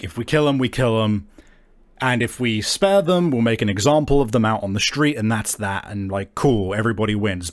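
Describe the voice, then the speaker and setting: A man narrating a tabletop game as game master, improvising haltingly and searching for his words. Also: medium pitch.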